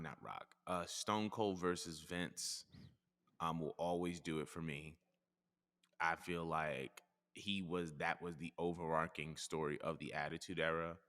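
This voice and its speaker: A man with a low voice and a bright, forceful tone speaks in a measured way, weighing each point as he goes. A long reverb hangs around his words. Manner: measured, thinks aloud, hedges. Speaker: podcast host. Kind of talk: casual chat.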